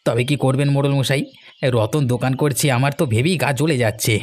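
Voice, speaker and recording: A man's voice: mid-range voice, a little fast, natural voice. A man voicing a hot-headed, fawning henchman of a village headman in a cartoon, agitated and goading. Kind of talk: cartoon dialogue.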